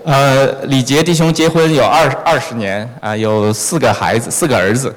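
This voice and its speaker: A man's voice: medium pitch, fairly even intonation, normal speed. Male sermon interpreter, long hall reverb. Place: large reverberant church hall.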